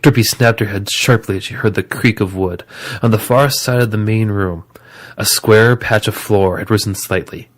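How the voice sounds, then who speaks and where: A man's voice: on the low side, normal speed. A man reading scripted story narration as the narrator of an audio drama.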